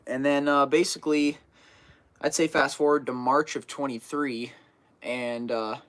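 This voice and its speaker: A man's voice: medium pitch, level tone, measured pace, fairly bright tone. A teenage boy chatting casually on a live stream, getting over a cold and sniffling, rambling with lots of fillers and restarts. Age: teens. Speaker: fishing channel host.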